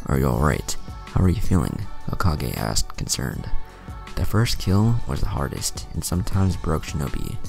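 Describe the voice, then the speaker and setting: Deep, gravelly male storyteller. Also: darkish tone. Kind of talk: reading a fan story aloud.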